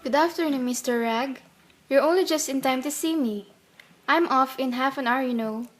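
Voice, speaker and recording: An actress playing a poised, gentle, candid young woman with a measured, genteel manner in a radio play. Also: high voice, natural voice. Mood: poised, dry. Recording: faintly noisy radio play recording.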